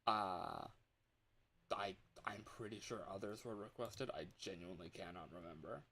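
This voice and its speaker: A man chatting casually to livestream viewers in an excitable, scattered, playful way, with frequent exclamations. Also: fairly low pitch, normal speed. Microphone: external microphone.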